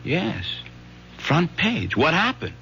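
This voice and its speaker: A man's voice: fairly high pitch, huge pitch swings, slow, creaky, sharp tone, dull audio, exaggerated voice. An actor playing a loyal, evasive teenage boy, hesitant and defensive, in 1970s radio drama style. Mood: defensive, uneasy. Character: earnest, evasive teenage son, uneasy and loyal. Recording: vintage radio broadcast, faint noise.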